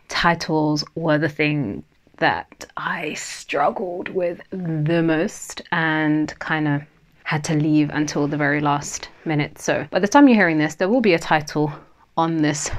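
A woman hosting her own podcast in a free-flowing, unscripted chat, laughing often and pausing now and then with a slight stammer. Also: deep voice. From Nigerian family, Yoruba heritage. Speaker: podcast host.

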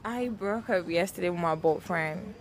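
A woman's voice: lowish voice, normal speed. A woman in her twenties being interviewed outdoors, giggly and boastful, answering into a handheld mic. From Nigeria and Morocco. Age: 20s. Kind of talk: comic street interview. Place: outdoor terrace.

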